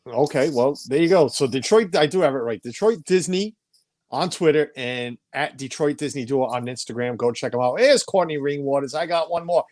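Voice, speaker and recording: A man's voice: medium pitch, expressive, normal speed, a little mellow, no echo. A man in his forties hosting a casual live stream from home, chatty, stumbling over names and reading viewer comments aloud. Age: 40s. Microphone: home streaming setup.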